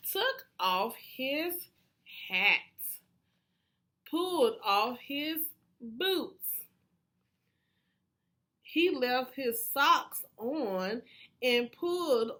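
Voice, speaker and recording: A woman reading a children's picture book aloud in an animated way, pausing to ask questions of young listeners. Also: high voice. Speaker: read-aloud storyteller.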